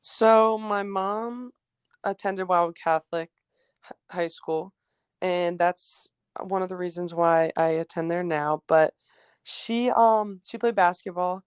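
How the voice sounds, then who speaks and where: A teenage girl from New Jersey, a high school basketball player, answering interview questions casually with many fillers; her first language was Greek. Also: fairly even intonation, slow, clear, resonant tone, dry recording, clean studio sound. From New Jersey, USA. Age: teens.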